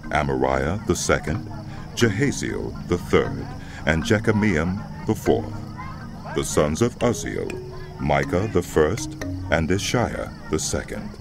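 A man with an extremely deep, creaky voice narrates scripture. His delivery is slow, measured and solemn, yet his pitch moves very widely. It is scripted audio drama narration with music behind it.

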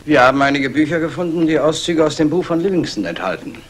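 High-voiced, precise police assistant. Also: male voice, expressive, fast, husky. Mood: matter-of-fact. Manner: precise, matter-of-fact. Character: diligent male police assistant reporting findings. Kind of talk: 1960s German radio crime drama acting.

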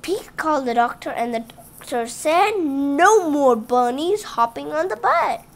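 High-pitched, lively, slow-reading female host. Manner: halting reading with restarts and chatty asides to the kids. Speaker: read-aloud channel host. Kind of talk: reading a picture book aloud to children. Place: indoors at home, book on a table.

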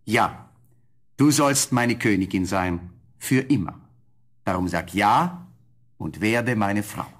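An actor playing a noble, tender young prince in a fairy-tale audio play, with a solemn, courtly manner and a husky voice. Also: male voice, lowish voice, animated, slow pace, heavily acted. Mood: tender wooing.

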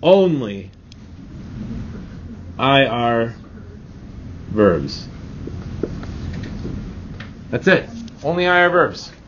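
A man's voice: mid-range voice, big pitch swings, drawn-out words, pauses often, a bit bright. Casual male teacher on muffled, noisy audio. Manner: casual, reassuring, checks in with rhetorical questions. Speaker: Spanish teacher. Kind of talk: informal classroom grammar lesson. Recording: dull lo-fi audio, clear background noise.

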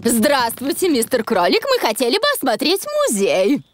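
Cartoon gazelle teacher: high voice, animated melody, brisk, polite, patient. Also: female voice, noisy background. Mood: polite, determined. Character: gazelle schoolteacher, brisk, patient adult lady. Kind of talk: children's cartoon dialogue.

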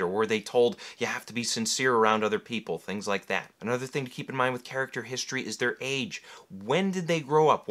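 A man's voice: medium pitch, bright, forceful tone. A man in his thirties with an American accent explaining things point by point as an instructor in a tutorial. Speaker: writing instructor and video host. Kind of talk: explanatory tutorial delivery to camera. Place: indoor room with bookshelves.

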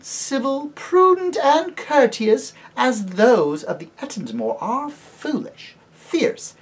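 A woman's voice: on the high side, a little mellow, husky, voice pushed up, full character voice. A woman voicing a gracious noble lady on horseback who trills her R's and speaks in lilting, old-fashioned courtly phrases. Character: sweet-voiced enchanting lady on horseback, grand and gracious.

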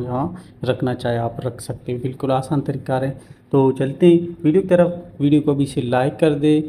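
Guy doing a casual game tutorial, mellow even voice, kinda halting, noisy background. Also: mid-range voice. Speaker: gaming tutorial host. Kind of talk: casual step-by-step screen tutorial. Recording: noticeable background noise.

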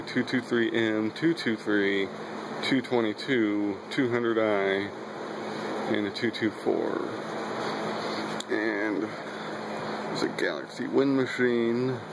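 A young man casually walking through his cluttered garage workshop, listing his fan collection in an offhand way with many fillers, filmed on a handheld phone. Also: mid-range voice. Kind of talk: casual handheld walkthrough narration. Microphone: handheld phone camera. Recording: loud background hum and room noise.

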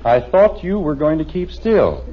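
A man's voice: a little high, expressive, a little slow. An actor playing an exasperated, wry, middle-aged suburban father in a 1950s radio sitcom. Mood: stern, wry. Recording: muffled, noisy vintage radio recording.

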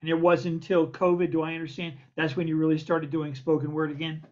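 An older man, a producer and co-host, telling an emphatic, animated story over a video call. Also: on the high side, brisk. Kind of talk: casual storytelling. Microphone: video call webcam. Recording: dull lo-fi video call audio.

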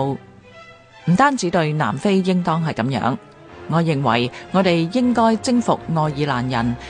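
Expressive scripted narrator over music. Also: medium pitch. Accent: Hong Kong Cantonese. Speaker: documentary narrator. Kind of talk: scripted dramatic narration with read quotations.

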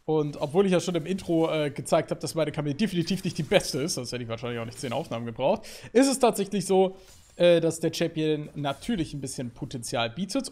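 A man in his thirties commentates his own gameplay from a home desk setup. His voice sits a little high and swings widely in pitch as he gets excited, with frequent filler sounds between thoughts. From Germany. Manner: lively, excitable, talks through play with fillers. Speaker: gaming YouTuber. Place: home streaming setup, indoors.

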